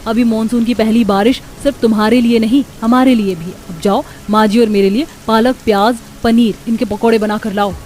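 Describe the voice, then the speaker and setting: A woman's voice: on the high side, fairly even intonation, brisk, hardly any pauses, darkish tone, a little hiss or hum, close to an everyday voice. A woman voicing a domineering, spiteful elder sister-in-law, haughty and taunting, in a cartoon. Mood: bossy.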